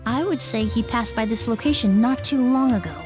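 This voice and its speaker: An actress voicing a calm, analytical, polite female investigator in a video game, explaining in measured phrases. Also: fairly high pitch, music in the background, natural voice. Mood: composed, explaining.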